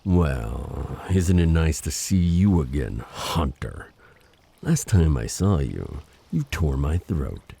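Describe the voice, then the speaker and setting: A man playing a vengeful, grimly mocking siren in an audio drama, with a damaged, gravelly, husky voice. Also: very deep voice, animated, takes it slowly, dark tone, slight background noise, exaggerated voice. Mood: mocking menace.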